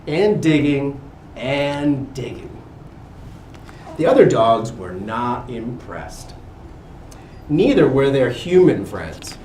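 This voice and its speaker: A man giving an expressive picture-book reading to children, pausing for little asides. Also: medium pitch. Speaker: guest reader at a school. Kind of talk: animated picture-book read-aloud to children. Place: indoor school room with children. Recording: roomy, distant mic with background noise.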